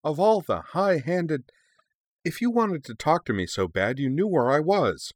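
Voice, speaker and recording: A man's voice: fairly low pitch, big pitch swings, moderate pace, fairly bright tone, no room sound, clean studio sound. Man voicing a sassy, indignant young female dragon. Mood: outraged. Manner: sarcastic, snappy, defiant. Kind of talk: expressive storybook character reading.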